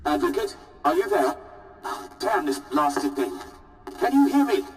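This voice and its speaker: A man's voice: high-pitched, wildly swooping pitch, unhurried, heavily acted. An actor playing an anxious, flustered nobleman in a video game, calling urgently over a radio comm. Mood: urgent, irritated. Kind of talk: video game dialogue acting. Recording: distant radio call over music.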